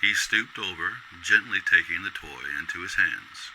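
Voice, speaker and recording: A man's voice: sits low, normal speed, noisy background. A man narrating a scripted radio drama as a storyteller.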